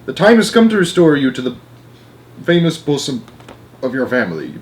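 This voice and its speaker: A man voicing a brisk, prim, unflappable old grandmother in a storybook reading. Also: medium pitch, very animated, slight background noise, close to an everyday voice. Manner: brisk, matter-of-fact.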